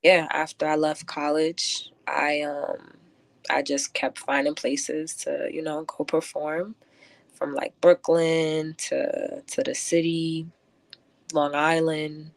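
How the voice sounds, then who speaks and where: A woman answering radio interview questions as a guest poet, thoughtful and halting, often filling with "like". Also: deep voice, flat delivery, vocal fry, no echo. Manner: thoughtful, halting, many filler likes. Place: close-miked radio show.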